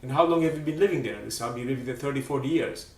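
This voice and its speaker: A man hosting a live religious program, speaking haltingly with many fillers. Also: medium pitch. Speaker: program host. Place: Seattle area. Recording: roomy, distant mic.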